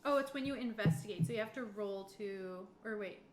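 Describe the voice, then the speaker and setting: A woman's voice: mid-range voice, brisk. A woman acting as game master, improvising narration and informally talking her players through their choices. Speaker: game master running a tabletop session. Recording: echoey room with background noise.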